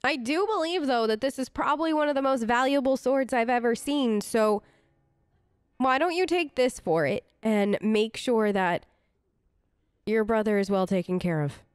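A woman hosts a role-play game playthrough in a fairly high voice. She chats casually in character and thinks aloud, often reassuring. The sound is close and very dry. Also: normal speed, clear, resonant tone, no echo. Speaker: gaming host, role-play let's player. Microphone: close microphone, dry voice-over.

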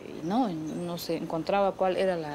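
A middle-aged woman, recalling her life conversationally and haltingly, searching for words. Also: lowish voice, steady tone, crowd noise. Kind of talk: conversational personal recollection. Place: leafy patio, outdoors.

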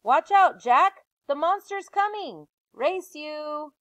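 A woman voicing an eager seven-year-old girl in a read-aloud storybook, squealing and breathless with excitement. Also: high voice, slow, no echo, dull audio, voice pushed up, heavily acted. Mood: excited.

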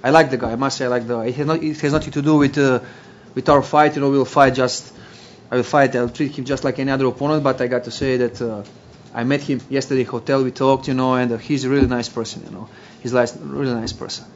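A man answers questions at a press conference in halting, non-native phrasing, slipping in dry jokes. The recording is dull and lo-fi, with a faint hiss behind him. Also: mid-range voice, steady tone.

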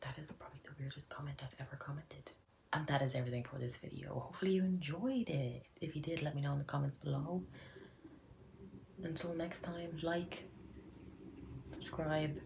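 Young woman, Irish accent, very low pitch, chatty, playful, whispered asides, faint background hiss. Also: steady tone, a little fast, gravelly, a little mellow, low-quality recording. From Ireland. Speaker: YouTuber. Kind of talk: casual reaction commentary. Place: indoors at home.

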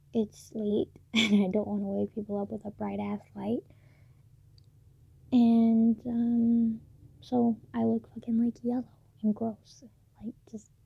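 Soft-spoken teen girl, hushed and gravelly. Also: mid-range voice, no echo. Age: late teens. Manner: soft, hushed, halting with many fillers. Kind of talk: late-night personal vlog. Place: dim bedroom at night. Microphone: phone or camera built-in mic, close. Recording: dull lo-fi built-in mic, dry.

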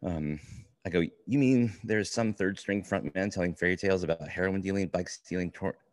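Low-voiced man chatting, dry sound. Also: moderate pace, no echo. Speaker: podcast co-host. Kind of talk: casual book review chat.

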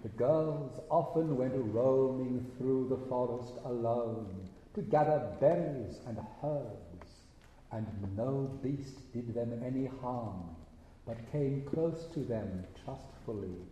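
A man narrating a fairy tale for a stage performance, with measured, deliberate phrasing and pauses. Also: mid-range voice, dark tone. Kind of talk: fairy tale storytelling for a eurythmy stage show. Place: large indoor stage hall with an audience. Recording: very echoey hall, distant, faint noise.

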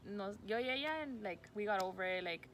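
A woman in her twenties chats casually as a vlog co-host at a medium pitch. Her talk is slangy, with Spanish mixed in. Light outdoor noise sits behind the recording. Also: expressive, fairly quick, a bit bright. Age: 20s. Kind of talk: casual chat answering questions. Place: outdoors by a parking area. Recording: faint outdoor ambient noise.

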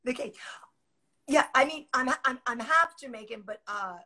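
A woman in her forties from Boston hosting a casual show over a video call, friendly and brisk as she steers the conversation. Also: high voice, pushed and bright. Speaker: host. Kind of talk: casual chat. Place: home room.

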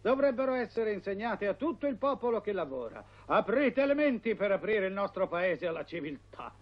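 A high-voiced old rebel teacher in a dubbed cartoon. He declaims his ideals earnestly and stubbornly. The soundtrack is dull and lo-fi. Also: big pitch swings, normal speed. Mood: earnest, defiant. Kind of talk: Italian cartoon dubbing.

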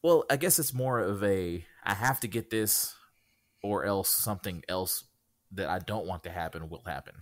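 A man's voice: low voice, moderate pace. A man in his thirties co-hosting a casual podcast, telling stories in a wry way. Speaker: podcast co-host. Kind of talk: casual chat.

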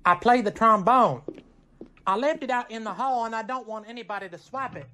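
A man's voice: very high-pitched, fairly quick, background noise. A male college student from an old radio comedy, acted by a man. He is a band trombonist, easygoing yet stubborn in how he talks. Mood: matter-of-fact.

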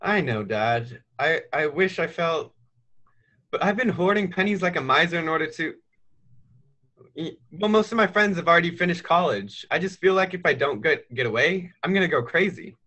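A high school boy acts out a restless young American dreamer in a radio play. His voice is rather high and very bright, with an earnest, eager push to his words. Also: animated, normal speed. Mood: frustrated, restless. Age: teens. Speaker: high school drama student. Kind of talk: stage radio play acting.

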